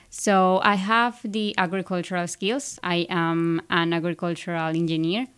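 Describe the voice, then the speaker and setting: A woman's voice: lowish voice, fairly bright tone, smooth. A young woman from Ecuador, a graduate student who explains things earnestly on a radio show. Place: radio studio.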